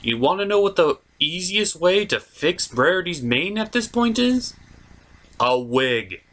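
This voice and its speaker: Animated, sarcastic man reviewing cartoons. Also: sits high, measured pace. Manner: sarcastic, theatrical. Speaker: host of a cartoon review show. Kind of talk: scripted comedic review narration.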